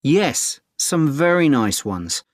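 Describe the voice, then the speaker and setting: Friendly surf dad giving advice in a scripted listening dialogue, encouraging, really dry sound. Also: male voice, on the high side, big pitch swings, takes it slowly, no room sound. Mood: helpful, enthusiastic. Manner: informative, encouraging. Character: friendly experienced surfer dad advising a friend.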